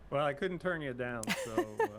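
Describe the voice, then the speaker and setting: A man's voice: a little high, huge pitch swings, normal speed, a bit bright. An older man from Cuba, a guest in an interview, speaking modestly with many fillers and restarts. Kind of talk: casual interview talk.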